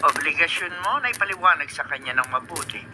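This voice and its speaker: A woman's voice: sits low, animated, fast. A woman with a Filipino accent acting a stern, domineering older lady in a radio drama, imperious in manner. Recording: noisy radio drama recording.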